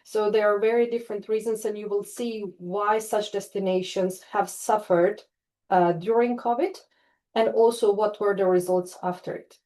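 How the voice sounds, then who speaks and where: A woman's voice: medium pitch, fairly even intonation, normal speed, warm tone. A woman from Istria in Croatia giving an online webinar talk as a tourism researcher, speaking over a video call and hesitating often with fillers and restarts. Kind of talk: online presentation.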